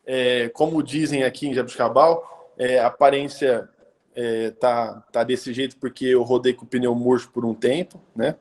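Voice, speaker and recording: A man, talking as a pastor on a live stream. His pitch sits in an ordinary middle range for a man. He keeps it relaxed and conversational, leaning on hesitations and tag questions. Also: normal speed. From Brazil, interior of São Paulo state. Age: 30s. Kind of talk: casual live-stream talk.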